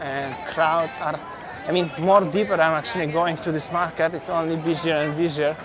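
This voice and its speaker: A man's voice: a little high, steady tone, normal speed, crowd noise. Chatty Polish man touring a street market. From Poland. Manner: chatty, repeats words, simple non-native phrasing. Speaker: travel vlogger. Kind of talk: walking market tour vlog. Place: busy outdoor street market.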